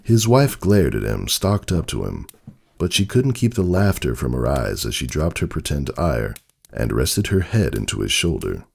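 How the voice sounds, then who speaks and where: Very deep, gravelly male storyteller. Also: moderate pace. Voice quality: gravelly, husky. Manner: expressive, literary storytelling. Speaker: narrator. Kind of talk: dramatic scripted story reading.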